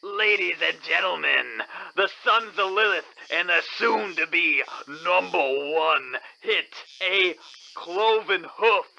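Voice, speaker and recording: A man's voice: sits high, slow pace, gravelly, bright, forceful tone, exaggerated voice, rough recording. A man playing an old-time radio announcer presenting a rock band in a showy way.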